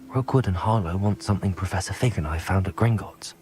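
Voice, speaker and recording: A man's voice: deep voice, fairly quick, darkish tone, natural voice. A man voicing a sincere, plucky teenage schoolboy hero in a video game, earnest and understated. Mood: confiding, earnest. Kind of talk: video game cutscene acting.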